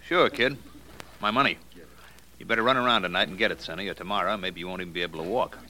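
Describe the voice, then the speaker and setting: A man's voice: sits low, quick pace, bright, forceful tone, rough recording. An actor playing a coolly menacing male loan shark in a 1940s radio crime drama, talking tough street slang. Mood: calm menace.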